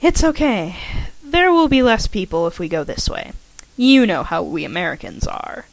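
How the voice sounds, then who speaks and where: A woman's voice: medium pitch, sing-song, normal speed, dry recording, low-quality recording. A woman reading aloud and voicing a cheerful young American college girl with an eager, friendly manner. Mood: reassuring.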